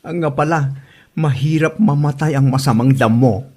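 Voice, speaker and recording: A woman acting a blunt, teasing friend with a husky voice in an amateur comedy drama. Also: deep voice, moderate pace, a little mellow.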